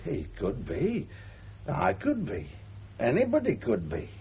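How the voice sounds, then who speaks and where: An actor playing a kindly, frank middle-aged uncle, gently probing and halting in a 1970s radio drama. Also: male voice, mid-range voice, wildly swooping pitch. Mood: reassuring, thoughtful. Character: kindly, frank uncle reassuring a young bride. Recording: dull, hissy 1970s radio broadcast.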